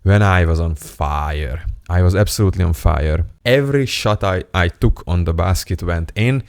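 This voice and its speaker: A young man from Hungary speaks English in a very deep, low register. He talks to the camera in a playful, self-mocking and candid way, like a casual vlogger. The sound is dry and close, with no room echo. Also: moderate pace. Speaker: self-improvement YouTuber. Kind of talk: casual storytelling monologue to camera.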